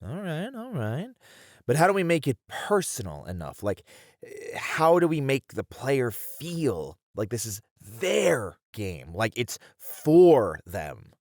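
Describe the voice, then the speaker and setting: Man playing animated, hesitant game developer colleague. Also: medium pitch, slow pace, no echo, studio quality. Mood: pondering, practical. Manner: casual, hesitant, thinking aloud. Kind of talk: conversational ASMR roleplay acting. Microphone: close studio mic.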